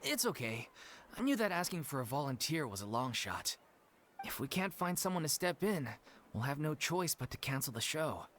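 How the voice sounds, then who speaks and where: A man playing an anxious, downcast musical theatre producer in a video game, with a husky voice. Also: a little high, big pitch swings, moderate pace, fairly bright tone, slight background noise. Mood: resigned, disappointed.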